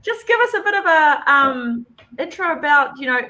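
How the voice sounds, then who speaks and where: A woman hosts a live-streamed interview in a high, bright voice that swings widely in pitch. She is bubbly and laughs often. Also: animated, normal speed, poor audio. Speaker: host. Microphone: video call.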